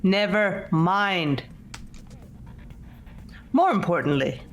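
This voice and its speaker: A woman's voice: on the low side, animated, very slow, muffled recording. A woman voicing a reserved, analytical teenage girl in a fan-voiced visual novel, speaking tersely and matter-of-factly. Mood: curt. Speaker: voice actor in fan game playthrough. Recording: music under the voice.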